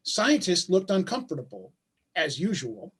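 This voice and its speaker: A man's voice: high-pitched, wildly swooping pitch, unhurried, over a noisy crowd, full character voice. A man playing a bitter, anguished father and husband in a staged play reading, speaking with emphatic insistence over a video call. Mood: reading aloud, wry.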